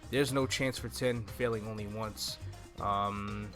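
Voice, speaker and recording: A man's voice: lowish voice, fairly even intonation, measured pace, a bit bright. A young man giving an easygoing, casual video game walkthrough, thinking aloud into a close desk microphone. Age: young adult. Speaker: gaming video host. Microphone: desk microphone close to the mouth. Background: game music.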